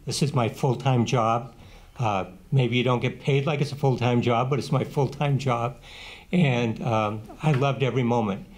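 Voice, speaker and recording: An older man in his seventies speaks in a steady, plain-spoken way, telling a short anecdote as he makes his case at a candidate forum. His voice sits at an ordinary male pitch. He sits close to a table microphone in a small meeting room. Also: moderate pace. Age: 70s. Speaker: political candidate, former councilor. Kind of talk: forum closing statement. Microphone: table microphone on a stand.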